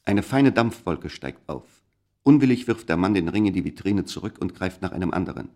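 A male narrator of a scripted radio play with a deep voice. He tells the story in a measured, suspenseful way. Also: animated, a little mellow.

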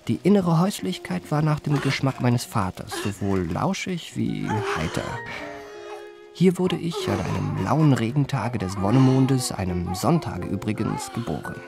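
An actor playing a weary forty-year-old confidence man, narrating his memoirs in a measured, wry, mannered way for a radio play. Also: mid-range voice, sing-song, creaky, over music. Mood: wry, self-satisfied. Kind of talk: literary first-person narration in radio play.

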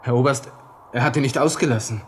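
An actor playing a panicked, proud young army lieutenant in a radio drama monologue, talking to himself with a Viennese accent. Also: male voice, medium pitch, fast, a little hiss or hum, close to an everyday voice. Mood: pleading. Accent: Viennese Austrian. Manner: agitated self-talk, from hushed muttering to outbursts.

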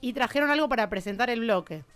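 A woman with a Rioplatense accent hosting a school radio program, quickly asking children questions. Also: a little high, expressive, a bit bright. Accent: Rioplatense Spanish. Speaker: radio host. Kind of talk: casual chat.

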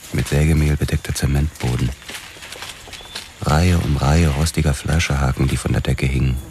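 An actor playing a weary, brooding police detective narrating his hardboiled story in a noir radio drama. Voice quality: very deep voice.

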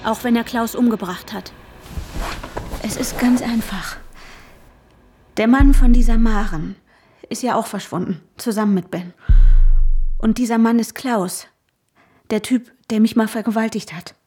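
Intense, haunted woman in breathy tones. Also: a little high, a little slow, pauses often, darkish tone. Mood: bitter, haunted. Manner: intense, breathy, often dropping to a whisper. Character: traumatised, obsessive woman narrating her own ordeal. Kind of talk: radio drama acting with inner monologue.

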